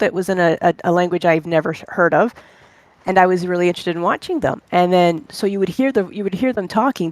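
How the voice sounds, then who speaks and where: A native English speaker chatting casually, telling rambling, animated stories and voicing their own thoughts aloud. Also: mid-range voice, no echo. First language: English, speaks only one language. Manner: rambling, animated anecdotes with voiced inner thoughts. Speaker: interviewee, online language-club member. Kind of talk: casual conversational storytelling.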